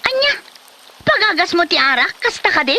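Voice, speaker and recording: A woman's voice: very high-pitched, big pitch swings, moderate pace, pushed and bright, heavily acted, rough recording. A woman acting an exasperated, nagging wife in an Ilocano comedy drama, with an Ilocano accent. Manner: nagging, reproachful. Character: exasperated, scolding wife of a lazy drunkard.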